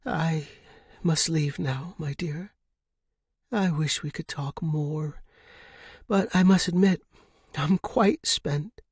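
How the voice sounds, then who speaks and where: Man voicing a genteel elderly widow, pitched up. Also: takes it slowly, long pauses, slightly rough, no echo, studio quality, heavily acted. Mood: tender, weary. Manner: genteel, formal, tender. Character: elderly wealthy widow, gracious, wistful and loving.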